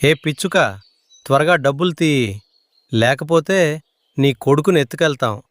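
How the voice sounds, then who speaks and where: A threatening crow thief from a children's cartoon, played by a man. He is a thuggish fake officer with a slightly high male voice, recorded close and dry. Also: unhurried, no room sound, natural voice. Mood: menacing.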